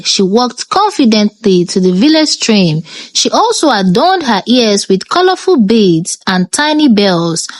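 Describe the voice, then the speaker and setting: A woman with a Nigerian accent narrating a scripted folktale in a dramatic, expressive manner. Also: on the high side, dry recording. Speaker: storyteller.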